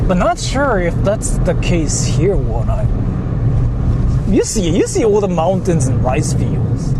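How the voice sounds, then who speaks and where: A man with a fairly high voice chats casually to his stream while driving. His delivery is very lively and swings up and down as he rambles and repeats himself. Loud car noise runs behind him. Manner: rambling, repetitive. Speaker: livestreamer. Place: inside a moving car. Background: vehicle driving noise.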